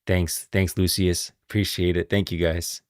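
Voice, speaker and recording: A man's voice: deep voice, flat delivery, a little slow, smooth, no echo, clean studio sound. A man hosting a livestream, chatting and reacting casually in informal, slangy speech. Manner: informal, slangy, thanks viewers often. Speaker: livestream host.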